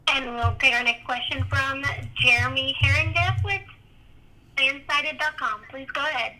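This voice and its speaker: A woman moderates a telephone conference in a formal, scripted way. Her voice is high and very bright. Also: normal speed, low-quality recording. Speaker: conference call operator.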